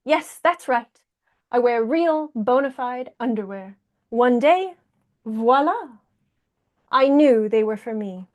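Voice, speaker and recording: A woman's voice: a little high, animated, slow, long pauses, darkish tone. A woman reading a picture book aloud as a dramatic male hedgehog with a secret, in a French accent. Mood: delighted.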